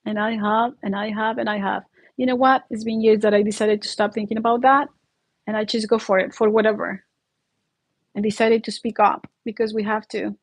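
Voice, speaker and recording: A woman's voice: mid-range voice, little pitch movement, a little fast. A woman from Mexico with a Mexican Spanish accent hosting her own channel, speaking earnestly and laughing often while sick with the flu and a sore throat. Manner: earnest, heartfelt, laughs often mid-sentence. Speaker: YouTube channel host. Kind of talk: casual livestream monologue.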